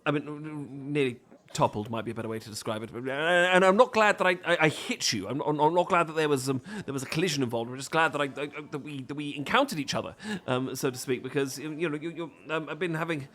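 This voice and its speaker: A man's voice: a little high, fast talker, few pauses, fairly bright tone, lightly acted. A man with a British accent playing a flustered, bashful, adorkable romantic who stammers and rambles apologetically in an intimate ASMR roleplay. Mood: nervous, smitten. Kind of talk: intimate ASMR roleplay acting, addressed to listener.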